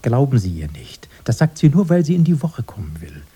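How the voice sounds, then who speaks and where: An actor playing a querulous, self-important brother in a German radio drama, breathy and emphatic, given to pedantic declaiming about old grievances. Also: male voice, medium pitch, big pitch swings, a little fast, mellow. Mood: bitter.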